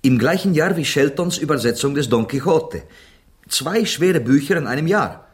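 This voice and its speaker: An actor in a radio drama playing a courteous, curious Spanish gentleman who speaks in polite, ornate phrases and slips into Spanish. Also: on the high side, expressive, normal speed, lightly acted. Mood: courteous, musing.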